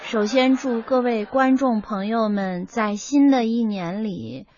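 A prickly bright-voiced emcee. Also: female voice, sits high, big pitch swings, slight background noise, exaggerated voice. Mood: reciting. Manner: quick to bristle, snappy comeback. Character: prickly young female teahouse emcee. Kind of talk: radio crosstalk drama. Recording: slightly dull radio audio.

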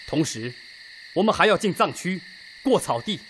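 An actor playing a resolute Red Army political leader, making an emphatic, weighty speech in a radio drama. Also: male voice, high voice, very animated, moderate pace, a bit bright, a little hiss or hum. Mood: grave, emphatic. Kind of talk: Chinese radio drama acting.

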